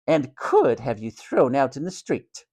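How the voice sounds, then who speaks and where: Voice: medium pitch, wildly swooping pitch, a little slow, a little mellow, husky, heavily acted. A storyteller voicing a cruel, sneering hospital matron, a domineering woman who snaps and mocks. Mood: smug, snapping. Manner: snapping, mocking, sarcastic, domineering.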